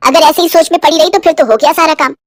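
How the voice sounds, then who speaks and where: A woman's voice: sits high, fairly even intonation, fast talker, dry recording, natural voice. A woman voicing a middle-aged Indian mother-in-law in a moral story, bossy and melodramatic, with sarcastic scolding. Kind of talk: acted dialogue in a moral story. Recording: music behind the voice.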